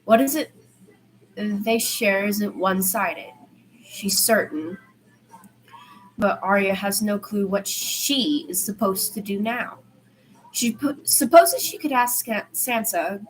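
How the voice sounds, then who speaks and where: A woman's voice: medium pitch, a little hiss or hum, rough recording. A woman reading a story aloud in an informal way, stumbling over words now and then and correcting herself. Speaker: amateur fan fiction podfic reader.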